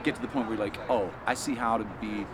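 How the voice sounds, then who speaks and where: A man of fifty speaks in a low, reflective voice, answering an interview outdoors. His earnest, loose way of talking leans on "like" and "you know." The chatter of a busy street crowd runs behind him. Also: steady tone, fast. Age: 50s. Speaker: working drummer.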